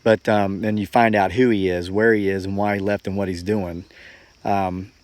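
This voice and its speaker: A man's voice: low voice, little pitch movement, moderate pace, no room sound. A man in his forties talking casually and reflectively to viewers as a homesteading vlogger, with a folksy, earnest, rambling way of telling things. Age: forty-four.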